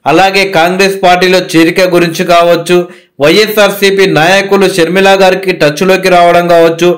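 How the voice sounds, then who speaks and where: A man's voice: high voice, fairly bright tone. A man presenting political news as a scripted narration.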